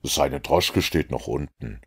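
A man voicing a cool, precise adult male detective, speaking slowly in a low, measured and assured way. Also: lively intonation, fairly bright tone, breathy edge, exaggerated voice. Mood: calm, assured.

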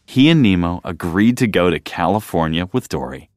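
A man reading a children's storybook aloud as narrator, in an expressive style, close to the mic. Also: low-pitched, a little fast, dry recording, soft background music.

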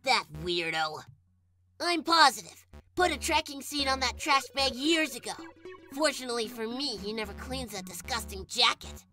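A woman's voice: on the high side, animated, moderate pace, bright, forceful tone. A woman voicing a scheming talking flower in a comic dub, smug, scornful and mock-sweet. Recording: music behind.

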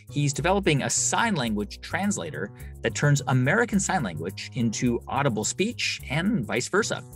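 A middle-aged man hosting an interview podcast from a home studio, asking curious, conversational questions. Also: mid-range voice. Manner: curious, conversational, hesitant fillers. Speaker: podcast host. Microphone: large studio condenser mic.

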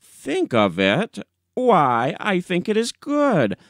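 A man's voice: high voice, huge pitch swings, takes it slowly, no echo, clean studio sound, pitched above the performer's own voice, full character voice. A man voicing a smug, naive newspaper editor, self-assured and defensive, in a short story audiobook. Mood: smugly earnest.

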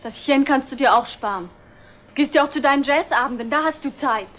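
A woman's voice: high-pitched, a little fast, fairly bright tone. An actress playing a sulky, pointed young girlfriend in a 1960s TV drama. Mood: sulky, suspicious. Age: young adult. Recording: faint hiss, old TV soundtrack.

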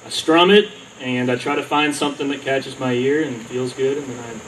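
A man's voice: medium pitch, a little fast, a bit bright. A man, a songwriter contestant, giving modest and hesitant answers on a festival stage. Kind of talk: onstage interview. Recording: distant mic with faint outdoor noise.

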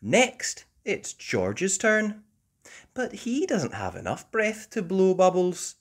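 Brief: female storybook reader and host, unhurried, expressive, hugely varied intonation for young children. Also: medium pitch, breathy edge. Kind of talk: expressive children's read-aloud narration.

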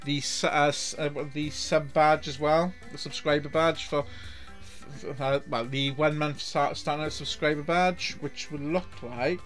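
A man's voice: fairly high pitch, steady tone, measured pace, a bit bright, soft background music. Welsh man, middle-aged, casual rambling game-stream chat. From Wales. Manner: rambling, many restarts and repeated words. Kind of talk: casual live-stream commentary. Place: home room.

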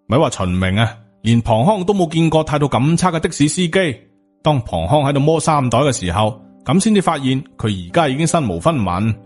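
Man, Hong Kong Cantonese accent, audiobook storytelling, medium pitch, soft music behind. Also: very animated, a little fast. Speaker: audiobook narrator. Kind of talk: scripted storytelling of a supernatural novel.

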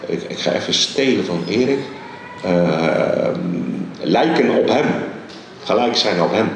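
Animated preacher in echoey hall. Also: male voice, on the low side, recorded from a distance, background noise. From Netherlands. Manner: animated, emphatic preaching with many pauses. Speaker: guest preacher. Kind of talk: sermon. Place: large dark church hall stage. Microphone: handheld mic.

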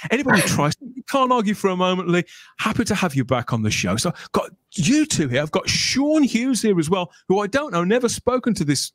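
A man's voice: high voice, fairly bright tone, no room sound. A middle-aged man chatting enthusiastically and jokily as a podcast host, close to the microphone. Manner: enthusiastic, jokey, wordy. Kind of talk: chatty fan podcast talk. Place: small room at home, on a video call.

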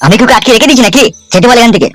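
A man's voice: extremely high pitch, animated, rapid-fire, fairly bright tone, faint music behind. A man voicing an older village uncle in a comedy cartoon, gossipy, commiserating and grumbling. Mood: exasperated.

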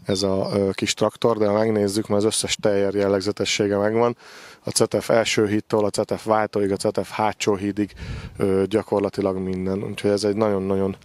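A man's voice: fairly low pitch, monotone, a little mellow, no room sound. A man in his forties giving an unscripted sales walkthrough as a machinery dealer outdoors, with hesitant, repetitive phrasing. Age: 40s. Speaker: farm machinery dealer presenting stock. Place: outdoor gravel machinery yard. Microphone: handheld microphone with windscreen.

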